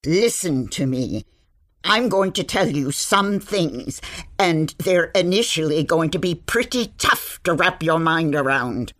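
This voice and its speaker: Low-voiced, bossy forest hermit. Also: female voice, expressive, a little slow, a bit bright. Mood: urgent, serious. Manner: curt, commanding, impatient. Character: bossy, exasperated, secretive forest witch-like woman. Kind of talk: audio fantasy comedy acting.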